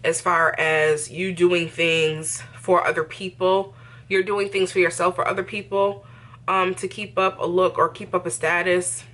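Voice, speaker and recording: A woman's voice: on the low side, level tone, a little slow, fairly bright tone. A woman in her early thirties chatting casually to viewers in a get-ready-with-me vlog, talking in an emphatic, familiar, conversational way. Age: early 30s. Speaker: vlogger, host of own channel. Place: indoors in a bedroom.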